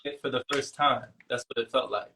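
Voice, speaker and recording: A man in casual, reflective conversation, speaking appreciatively in halting, broken phrases. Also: medium pitch, pushed and bright.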